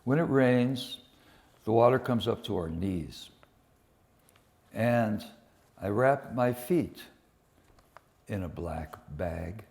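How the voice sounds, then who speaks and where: A man presenting and reading poems at a live event, with deliberate, dramatic phrasing and pauses. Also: fairly low pitch, expressive, darkish tone. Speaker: poetry reader and presenter. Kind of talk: live poetry reading with informal introductions. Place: public poetry reading at a museum.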